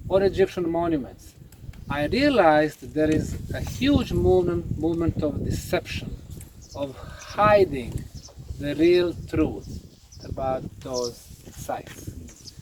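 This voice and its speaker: A man lecturing on location as a documentary presenter, speaking emphatically and deliberately. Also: fairly high pitch, background noise. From Bosnia. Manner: emphatic, deliberate, asks rhetorical questions.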